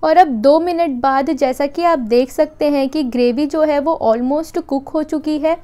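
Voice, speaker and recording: A woman giving a calm, patient step-by-step voice-over for a recipe video in her kitchen. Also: high voice, a little slow, faint music behind. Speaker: cooking channel host.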